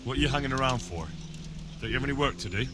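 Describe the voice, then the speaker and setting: Male actor as young game hero, middle pitch, blunt, suspicious, plucky, clear music behind. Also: lively intonation, rapid-fire, close to an everyday voice. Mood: wary, blunt. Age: young adult. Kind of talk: video game dialogue acting.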